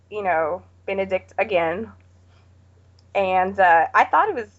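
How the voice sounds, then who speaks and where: Plain-spoken woman on dull lo-fi audio. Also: medium pitch. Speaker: podcast guest panelist. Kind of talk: casual movie discussion.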